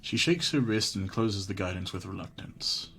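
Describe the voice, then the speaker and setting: A man's voice: low-pitched, lively intonation, normal speed, creaky, fairly bright tone. A man live streaming a game, chatting casually with some swearing and reading the on-screen text aloud. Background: game music.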